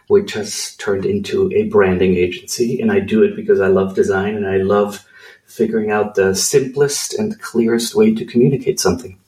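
A man's voice: fairly low pitch, a little mellow. Swiss man, roomy distant sound. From Switzerland. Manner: thoughtful, measured, with fillers. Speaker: guest, creative director. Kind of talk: conversational interview.

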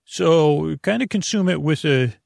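Man, heavy vocal fry, dry close sound, halting, meandering, bedtime podcast rambling. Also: on the high side, expressive, a little mellow, dry recording. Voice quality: gravelly, creaky. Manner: meandering, halting, over-explaining, trailing off. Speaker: podcast host.

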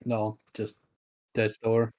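A man's voice: on the low side, completely flat delivery, takes it slowly, darkish tone. A man chatting casually with friends as a co-host on a podcast.

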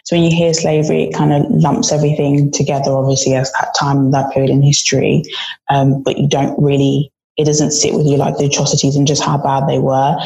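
A woman's voice: low-pitched, flat delivery, a little fast, creaky, mellow, rough recording. A woman on a panel, talking casually and earnestly as she thinks things through aloud. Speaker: panel participant. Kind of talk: reflective casual discussion.

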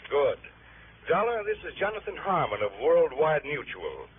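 An actor playing a courteous, hesitant insurance executive who hems and haws formally in a 1960s radio drama. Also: male voice, on the high side, big pitch swings, moderate pace. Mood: polite, puzzled. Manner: formal, hemming and hawing. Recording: narrow, faintly noisy old radio recording.

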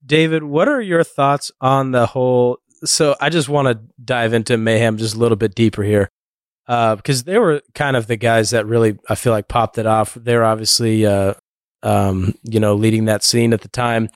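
Smooth-voiced man hosting a podcast. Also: mid-range voice, steady tone, moderate pace, dry recording, studio quality. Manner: conversational, leads with questions, recaps others' points. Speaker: podcast host. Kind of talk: casual discussion.

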